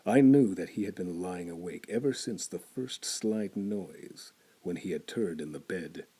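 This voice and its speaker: Brief: low, dark-toned male narrator for gothic horror, slow, whispers and builds. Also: breathy edge. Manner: theatrical, shifting between hushed whispers and loud intensity. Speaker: narrator reading a classic horror story. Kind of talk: dramatic horror story reading.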